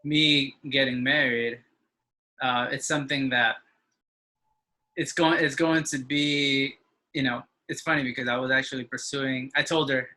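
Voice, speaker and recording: A man in his twenties, an interview guest, talking with halting speech and frequent restarts. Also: medium pitch, steady tone, pushed and bright, rough recording. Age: 20s. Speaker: interview guest, engineering student. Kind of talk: casual conversation.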